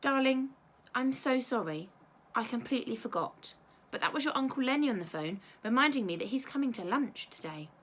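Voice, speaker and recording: A woman voicing an apologetic, coaxing mother in a children's storybook, gently persuasive and affectionate. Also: on the high side, expressive, fairly quick. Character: apologetic, coaxing mother of a nine-year-old.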